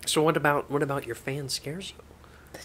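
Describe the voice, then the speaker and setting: A man doing a self-important TV therapist who hosts the show and badgers his patient. His voice sits a little high and jumps up loud whenever he insists on a point, as in an improvised comedy sketch. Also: steady tone, moderate pace, a little hiss or hum, natural voice. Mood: insistent. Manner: bossy, loud pitch jumps when insisting. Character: pushy, self-important male TV therapist, blunt and bossy. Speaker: host.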